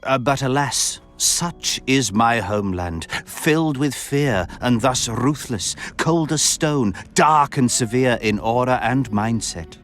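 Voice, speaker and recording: Pompous lecherous count for a video game, super theatrical, voice swings all over, bit of music behind. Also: male voice, mid-range voice, animated, slow, hardly any pauses, a bit bright. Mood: disdainful, lamenting. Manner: theatrical, florid, pompous phrasing. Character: flamboyant, verbose, refined nobleman count, lecherous and sardonic. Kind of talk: video game cutscene voice acting.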